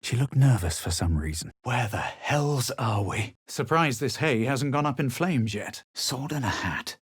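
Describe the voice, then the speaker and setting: A man playing a self-assured, haughty fantasy adventurer in a video game, speaking in a measured, composed, faintly superior way. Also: medium pitch, animated, husky, no room sound, studio quality. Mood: cool, observant. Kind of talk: video game character lines, scripted.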